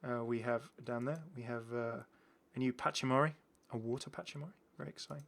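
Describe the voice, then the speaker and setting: A man's voice: mid-range voice, steady tone, fast talker, creaky, a bit bright. A man hosting a gaming channel, giving casual, chatty and enthusiastic commentary as he shows off game content. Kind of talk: casual gameplay showcase commentary.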